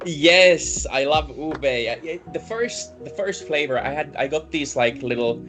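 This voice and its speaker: A man's voice: fairly high pitch, measured pace, a bit bright, faint music behind. A man whose first language is German, grew up in Finland, chatting as a recruitment guest in a friendly, enthusiastic way with frequent restarts. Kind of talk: casual interview chat.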